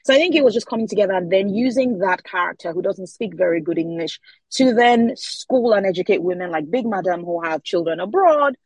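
A woman's voice: mid-range voice, lively intonation, brisk, darkish tone, breathy edge, no room sound. A Nigerian woman in her forties, an author answering interview questions thoughtfully, often repeating words as she searches for them. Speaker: author guest.

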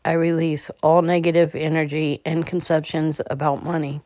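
Monotone meditation guide, muffled sound. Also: mid-range voice, no echo. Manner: calm, halting delivery with stumbles. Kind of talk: slow guided meditation narration. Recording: dull, muffled lo-fi recording.

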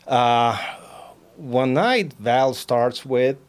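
A man's voice: mid-range voice, lively intonation, very slow, clear, resonant tone. Chatty Peruvian-man. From Peru. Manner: chatty, repeats words, laughs often. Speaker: guest, alpaca farmer. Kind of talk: casual interview chat.

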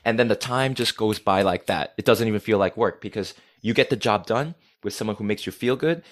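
An energetic man, a podcast guest talking casually and swearing freely. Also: lowish voice, brisk. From United States. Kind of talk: casual conversation.

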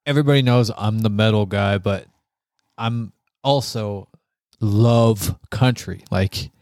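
A man co-hosting a guitar talk show, chatting informally and haltingly, often repeating himself. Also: on the low side, a little mellow, no room sound, studio quality. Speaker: co-host.

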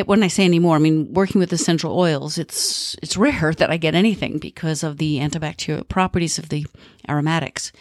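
A woman's voice: medium pitch, no room sound, studio quality. A middle-aged woman hosting an aromatherapy podcast, sounding a little nasal from the tail end of a cold, chatting and teaching. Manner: chatty, warm asides, then reads definitions. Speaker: podcast host.

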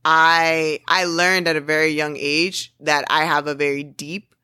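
A woman co-host in a casual podcast chat, forward, upfront and intense. Her voice is bright and forceful, recorded dry and close. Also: sits low, level tone, unhurried, smooth, dry recording. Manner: forward, intense, self-described aggressive tone.

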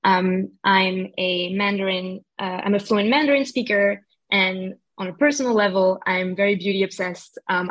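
Woman, medium-pitched voice. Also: fairly bright tone, poor audio. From France. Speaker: brand strategy director, podcast guest. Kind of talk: professional self-introduction in interview.

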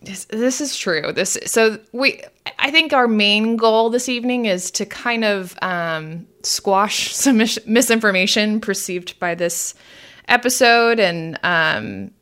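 A woman co-hosts a relaxed, conversational podcast at a medium pitch. She explains things in a chatty way and laughs easily. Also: slow pace. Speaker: podcast host. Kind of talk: casual conversational podcast commentary.

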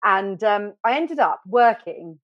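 Podcast guest: middle-aged UK woman, lively and self-deprecating, dry studio sound, a touch dull. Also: medium pitch, slow pace, no echo. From United Kingdom. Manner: animated, chatty, self-deprecating, many fillers and restarts. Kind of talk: casual storytelling interview.

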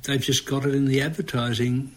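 A man's voice: medium pitch, fairly even intonation, fairly quick. An Australian man co-hosting a podcast in casual chat, with a teasing, opinionated, joking manner. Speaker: podcast co-host.

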